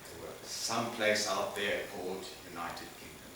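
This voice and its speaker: A man from Zimbabwe giving a public lecture as guest speaker, lively, jumping between loud bursts and quiet asides. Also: sits low, moderate pace. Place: large lecture hall. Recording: echoey lecture hall, mic far off, background noise.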